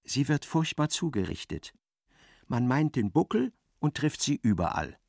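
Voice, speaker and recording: A man reading scripted literary narration in a radio play, with expressive, dramatic storytelling. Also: medium pitch, unhurried, vocal fry, no room sound, clean studio sound.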